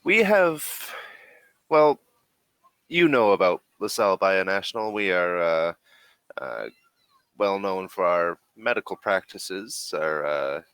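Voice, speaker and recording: A man playing a female corporate representative in a tabletop game, halting, diplomatic, evasive and self-promoting. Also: low-pitched, fairly bright tone, no echo, full character voice.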